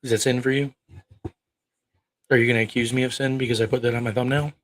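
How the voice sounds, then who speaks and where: A man's voice: medium pitch, flat delivery, fast talker. Thirties male livestream preacher, mid-range voice, combative and profane, fires rhetorical questions. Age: 30s. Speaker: online preacher. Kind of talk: livestream talk answering chat.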